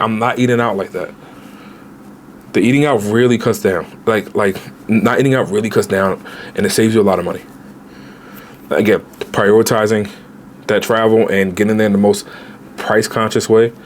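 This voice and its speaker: A man in his late twenties, a travel vlogger giving casual, animated budget travel advice straight to viewers, with slang. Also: lowish voice, a little hiss or hum. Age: 20s.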